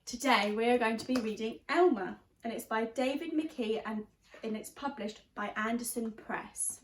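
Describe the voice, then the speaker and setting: A woman reading a children's story aloud like a teacher, expressively and deliberately, stressing key words. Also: a little high, slight background noise. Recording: roomy, echoey sound.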